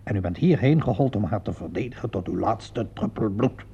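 An actor playing a sardonic, probing police inspector in a radio play, drawling ironic questions with small interjections. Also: male voice, on the low side, lively intonation, fairly quick, mellow, slightly rough, exaggerated voice. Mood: mocking, stern. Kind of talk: radio play acting in Dutch. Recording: dull lo-fi radio play recording.

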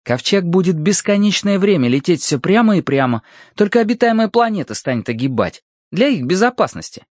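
A man plays a confident, clever boy in an audiobook space adventure. He sounds matter-of-fact and slightly mocking, high and lively in pitch, with a very dry sound. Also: fairly quick, dry recording. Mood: explaining.

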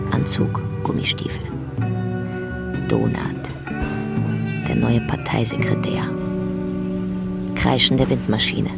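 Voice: mid-range voice, gravelly. A narrator reading out the scenes and stage directions of a play in scripted narration.

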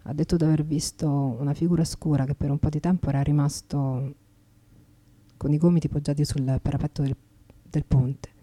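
Low, weary Roman streetwalker. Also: female voice, level tone, normal speed, dark tone. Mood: sad, restrained. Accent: Roman Italian. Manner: subdued, plainspoken. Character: weary, frank Roman streetwalker confiding her painful life. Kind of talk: intimate dramatic monologue.

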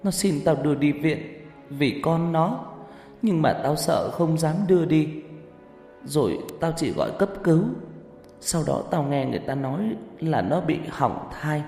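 A man's voice: a little high, animated, moderate pace, long pauses, darkish tone, pitched above the performer's own voice. A man voicing a frightened, guilt-ridden young woman who stammers and pleads. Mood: tearful. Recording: echoey long reverb, faint music.

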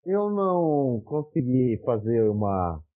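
Wry, husky man on a dull mic. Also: mid-range voice, sing-song, slow. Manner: self-deprecating, wry. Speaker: podcast co-host. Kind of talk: casual podcast banter.

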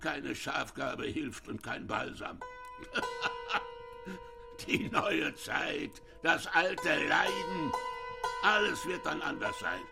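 A bitter, brooding old village patriarch in a radio play. His voice is gravelly and husky with a sharp edge, and it swings widely as he drags out halting phrases. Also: fairly high pitch, lively intonation, loud music behind the voice, exaggerated voice. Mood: scornful, agitated. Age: older. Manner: slow, brooding, halting with repeated words. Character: bitter, ailing old dike reeve, brooding father. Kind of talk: German radio drama acting.

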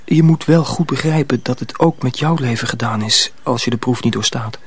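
A man's voice: medium pitch, moderate pace, breathy edge. An actor playing an earnest young man in danger, speaking intimately in a radio drama. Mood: earnest, urgent.

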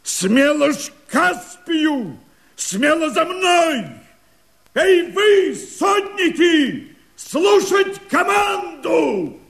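An actor playing a betrayed, fierce and anguished Cossack rebel leader, declaiming verse in a radio drama with a husky, gravelly voice. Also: male voice, very high-pitched, sing-song, fairly bright tone, raised voice, heavily acted. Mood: rallying, commanding. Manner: drawn-out, impassioned declamation.

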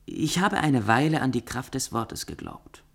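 An actor in a German radio play playing a resigned, doubting writer who speaks in a hesitant, reflective manner. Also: male voice, mid-range voice, expressive, moderate pace, fairly bright tone. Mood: doubtful, resigned.

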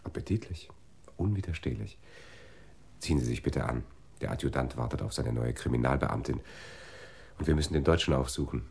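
An actor playing a laconic, dry-humoured police detective with a terse, businesslike delivery in a radio play. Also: male voice, very deep voice, fairly quick, gravelly, a little mellow, a little hiss or hum. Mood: awkward, deadpan.

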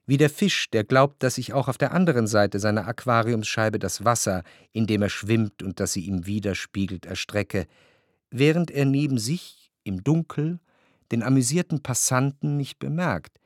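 A man narrating a literary radio drama, phrasing long, scripted sentences in a measured way. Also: medium pitch, no echo, studio quality. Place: dry studio.

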